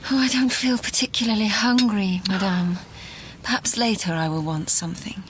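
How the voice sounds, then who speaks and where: An actress playing a dreamy, impressionable young gentlewoman in a gothic audio drama, breathy and hesitant. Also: mid-range voice, normal speed, noisy background, close to an everyday voice. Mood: defensive.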